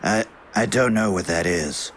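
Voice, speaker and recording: A man's voice: on the low side, a little slow, a bit bright, a little hiss or hum. An actor playing a male adventurer from a fantasy world in an audio drama. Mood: puzzled.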